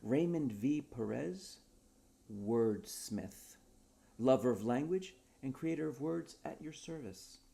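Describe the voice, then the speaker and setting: A man's voice: medium pitch, animated, slow, vocal fry, warm tone, pitched above the performer's own voice. Man acting an eager, theatrical wordsmith. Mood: proud, whimsical. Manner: theatrical, earnest salesman patter. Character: eager, whimsical, talkative wordsmith with odd schemes.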